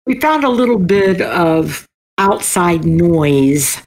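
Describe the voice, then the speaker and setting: A woman's voice: fairly low pitch, animated, slow pace, a little mellow. An older woman with an American accent, chatting casually as a guest on an interview show. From United States. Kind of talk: casual chat.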